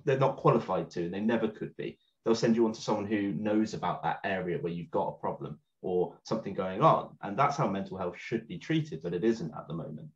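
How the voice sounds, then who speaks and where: A man calmly explaining his view in a podcast discussion. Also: low-pitched, brisk, few pauses. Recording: dull lo-fi mic.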